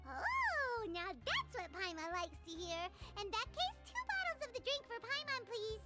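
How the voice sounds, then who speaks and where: Tiny chirpy fairy sidekick, very high squeaky voice, swooping sing-song delivery, game score behind. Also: female voice, normal speed, soft background music, full character voice. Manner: speaks of herself in third person, excitable. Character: tiny floating female fairy companion, chirpy.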